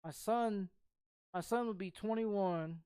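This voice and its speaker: A woman's voice: medium pitch, slow, dark tone, clean tone, no echo, clean studio sound. A woman in her thirties from Texas chatting casually as a streamer, blunt and sweary, circling back over the same phrases. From Texas, United States. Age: 30s. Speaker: game streamer. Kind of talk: casual rambling chat.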